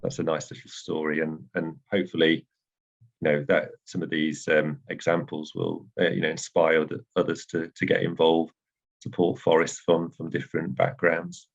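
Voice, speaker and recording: A man's voice: very deep voice, flat delivery, normal speed, vocal fry. A man giving a hesitant update at a meeting, with many fillers. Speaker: meeting speaker. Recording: dull lo-fi sound.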